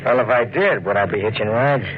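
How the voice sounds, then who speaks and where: An actor playing a cocky, insinuating stranger with quiet menace, in 1940s radio crime drama style. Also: male voice, on the low side, a little fast, natural voice. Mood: needling, menacing. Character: sly, needling male stranger, menacing hitchhiker in plaid suit. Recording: muffled, noisy 1940s radio recording.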